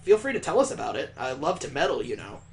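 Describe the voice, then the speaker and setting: A wry, bookish visual novel student, played by a man, banters in a medium-pitched voice. The recording is noisy. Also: sing-song, fast, creaky. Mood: nosy. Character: bookish, wry student who likes to meddle.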